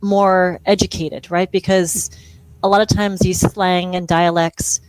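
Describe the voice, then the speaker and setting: A middle-aged woman from Hawaii talks as a guest on an interview, chatty and self-deprecating, laughing often. Her voice sits fairly low, and the recording is dry and close. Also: flat delivery, measured pace, clear, resonant tone, dry recording, rough recording. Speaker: speech-language pathologist guest. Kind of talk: conversational interview.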